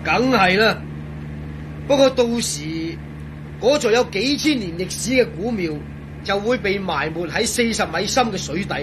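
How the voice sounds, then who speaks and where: A man acting a proud, excitable engineer and grumbling companion in a radio drama, with a Hong Kong Cantonese accent. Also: high-pitched, a little slow, noisy background. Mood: boastful, eager.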